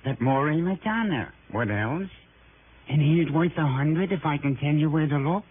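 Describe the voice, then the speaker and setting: A man's voice: fairly high pitch, lively intonation, normal speed, close to an everyday voice. A man playing a sly, grasping small-time informer, streetwise and wheedling, in an early 1960s radio drama. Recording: hissy, muffled old radio broadcast.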